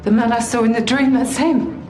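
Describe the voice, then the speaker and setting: An actress playing a distressed, pleading Roman governor's wife in a biblical drama. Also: female voice, fairly high pitch, fairly even intonation, normal speed, lightly acted. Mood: frightened, pleading. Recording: echoey, music clearly underneath.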